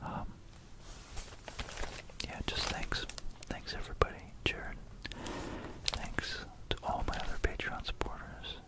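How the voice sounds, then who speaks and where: Whispering ASMR host, rambling gently. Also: slow pace, pauses often, low-quality recording. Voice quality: unvoiced, breathy whisper. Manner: rambling, hesitant, gentle bedtime delivery. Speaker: ASMR video creator and host.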